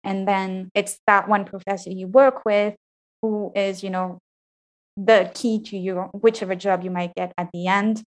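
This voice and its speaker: High-voiced woman, frank interview guest. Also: flat delivery, measured pace. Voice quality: fairly high-pitched voice. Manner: thoughtful, frank, with frequent restarts. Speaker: interview guest, PhD graduate. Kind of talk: reflective interview answers.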